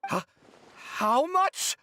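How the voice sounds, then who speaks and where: Dramatic, expressive young genius. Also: male voice, very high voice, very slow, heavy background noise, full character voice. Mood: flustered, incredulous. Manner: expressive, sighing, hesitant. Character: weary, proud, dramatic young male genius designer. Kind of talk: video game voice acting.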